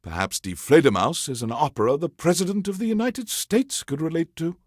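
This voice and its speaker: An actor playing a pompous alien warlord who hosts a classical music radio show, savoring each phrase in a grandiose, theatrical way. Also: male voice, fairly high pitch, lively intonation, fairly quick, dry recording, studio quality. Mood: haughty, rapturous. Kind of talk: theatrical scripted radio DJ monologue.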